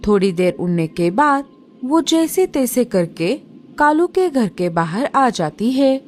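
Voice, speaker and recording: Children's storyteller: a woman, slightly high, animated and unhurried. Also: slight background noise. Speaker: narrator.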